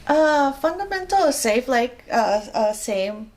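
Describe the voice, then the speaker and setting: Middle-aged woman from Japan, Japanese accent, slow and halting interview guest. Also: fairly high pitch, lively intonation, darkish tone. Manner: hesitant, many fillers and restarts. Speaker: interview guest, rope bondage practitioner. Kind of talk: casual interview chat.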